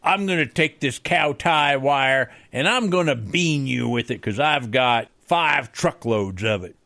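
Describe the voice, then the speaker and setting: Man playing a gravelly, crabby cowboy handyman. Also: medium pitch, animated, unhurried, bright, forceful tone, no echo, clean studio sound, heavily acted. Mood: threatening. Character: crabby, combative old west handyman, rival gunslinger of tools. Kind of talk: comedic western radio play.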